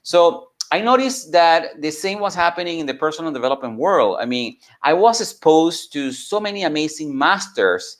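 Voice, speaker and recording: A man from Venezuela, a native Spanish speaker with a Venezuelan accent, telling his story informally as an entrepreneur and mentor, in an earnest, halting way that repeats phrases. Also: on the high side, very animated. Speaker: entrepreneur and mentor giving a talk.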